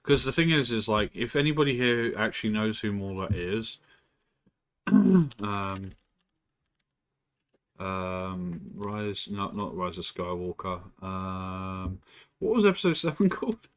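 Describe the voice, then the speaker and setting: A man with a slightly low voice chats on a live stream from home. He rambles loosely between thoughts, clears his throat often, and swears casually. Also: big pitch swings, slow pace, pauses often. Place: indoors at home.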